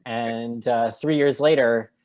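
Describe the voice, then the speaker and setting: A man answering an interview question over a video call, thoughtful, with many pauses and restarts. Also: medium pitch, fairly even intonation, a little mellow. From United States. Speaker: guest translator.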